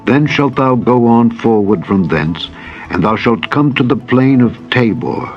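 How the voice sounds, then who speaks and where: A man voicing a solemn prophet giving instructions in measured, archaic, formal scripture language. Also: medium pitch, lively intonation, lightly acted. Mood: solemn, instructing. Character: solemn male prophet instructing a newly anointed leader. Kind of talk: dramatized scripture reading. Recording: dull radio-like sound, music behind.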